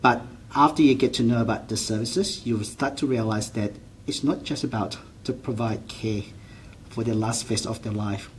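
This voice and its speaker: A man's voice: medium pitch, normal speed, a little hiss or hum. A man in his forties from Malaysia with a Malaysian accent, a GP answering interview questions earnestly, often restarting his sentences. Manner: earnest, with frequent restarts and non-standard grammar. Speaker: general practitioner.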